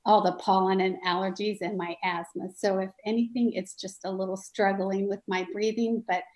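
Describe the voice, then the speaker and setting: Slightly hoarse woman. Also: mid-range voice, fairly even intonation, moderate pace, darkish tone, rough recording.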